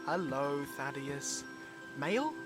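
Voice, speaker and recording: An actor playing a kindly, eager marten adventurer, polite and expressive, in a children's audio drama. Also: mid-range voice, drawn-out words, over loud music. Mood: patient, kindly.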